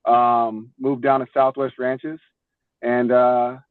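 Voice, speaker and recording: Tinny phone-sounding audio, young guy from florida, mid pitch, casual podcast guest telling stories. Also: level tone, slow, no room sound. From Florida, United States. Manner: informal, repeats words, bro and dude. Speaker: podcast guest, former baseball player. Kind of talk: casual chat, storytelling.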